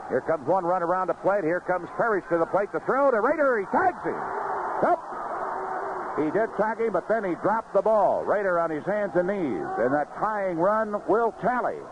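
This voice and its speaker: A man's voice: sits high, big pitch swings, moderate pace. An American man calling live baseball play-by-play on the radio. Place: ballpark broadcast booth. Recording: noisy, muffled vintage AM radio broadcast.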